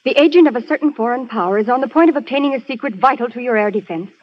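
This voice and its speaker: A woman's voice: fairly high pitch, very fast, close to an everyday voice. A woman acts a secretive spy on the run in an old radio play. She speaks with earnest, anxious urgency, and the recording is dull and vintage. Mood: grave, insistent. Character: frightened, secretive female professional spy on the run. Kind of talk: 1940s radio acting.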